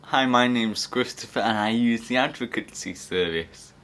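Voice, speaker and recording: A man's voice: mid-range voice, moderate pace, a bit bright. A young man with an English accent speaking earnestly and a little hesitantly about the help he gets, with frequent fillers. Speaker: young person using the service. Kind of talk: interview testimony.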